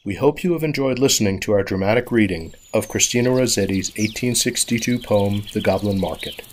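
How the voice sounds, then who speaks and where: Lowish guy doing radio drama end credits, scripted, birds and some noise behind. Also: fairly quick. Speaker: announcer reading closing credits. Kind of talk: scripted radio play outro.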